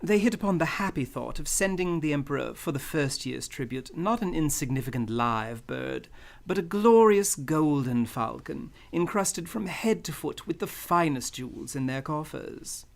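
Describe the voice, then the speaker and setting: An actor playing a genteel, scheming crime boss in a radio play, pompous and wordy with a gravelly voice. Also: male voice, fairly high pitch, lively intonation, normal speed. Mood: relishing, grandiose. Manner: courtly, verbose, pompous. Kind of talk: old-time radio play acting.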